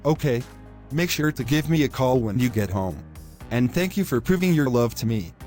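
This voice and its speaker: A male actor plays a selfish young boyfriend in a moral-story audio drama. He argues in formal, wordy lines and keeps pushing his point. His voice sits at an average male pitch, with soft music underneath. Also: animated, moderate pace, natural voice. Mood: sweet-talking. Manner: formal wordy phrasing, insistent. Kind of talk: scripted moral-story dialogue.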